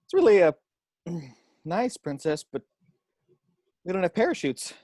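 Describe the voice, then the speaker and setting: A man's voice: sits high, sing-song, unhurried, warm tone, exaggerated voice. A man playing a flustered businessman father in his thirties, nervous and hesitant, in a screenplay table read. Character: anxious, flustered thirty-five-year-old businessman dad.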